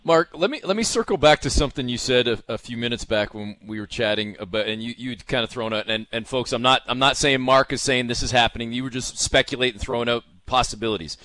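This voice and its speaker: A man co-hosting a sports podcast, putting questions conversationally and deliberately, rephrasing them in stages. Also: medium pitch, sharp tone, dry recording. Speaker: podcast co-host.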